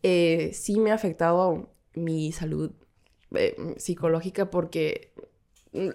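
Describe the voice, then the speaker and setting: A Korean-born woman whose first language is Korean, a guest in a casual podcast chat, thoughtful and quick to laugh, often restarting words. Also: on the low side, steady tone, darkish tone.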